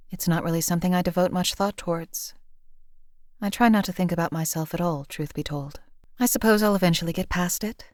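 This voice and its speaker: A cold female killer for hire, voiced by a woman for a game, who talks in a measured, formal and utterly detached way. Her voice sits on the low side for a woman. The recording is dry and close, with no room sound. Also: dry recording, lightly acted. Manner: flat, detached, coolly deadpan. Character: cold emotionally hollow female hired assassin. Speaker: voice actor for a game companion.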